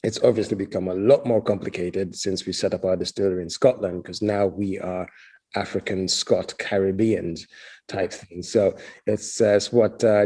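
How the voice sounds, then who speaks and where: Mellow-voiced Ugandan-born man. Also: sits low. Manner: relaxed, hesitant with many uh and um fillers. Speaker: head distiller. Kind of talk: casual interview answers.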